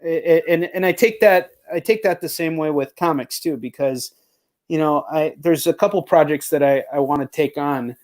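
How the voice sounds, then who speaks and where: A man with a slightly high-pitched voice hosts a casual live video chat. His talk is informal and slangy, full of "like" and "you know," as in a relaxed interview. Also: normal speed. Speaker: host of a live chat show.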